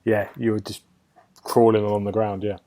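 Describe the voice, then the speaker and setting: A man's voice: lowish voice, level tone, moderate pace, dark tone. A man hosting a casual gaming podcast, chatting and putting questions in an informal way. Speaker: podcast host.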